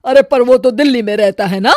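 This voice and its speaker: A woman voicing a sly, scheming older mother-in-law in an animated story, with sarcastic muttered asides. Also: fairly high pitch, fairly quick, no room sound, clean studio sound, close to an everyday voice.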